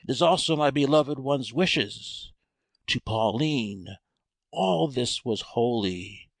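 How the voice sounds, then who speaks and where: A man's voice: mid-range voice, animated, slow, vocal fry, no echo, heavily acted. A man playing a grandiose cult prophet turned coarse swindler in an audiobook drama, blustering theatrically with an American accent. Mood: indignant.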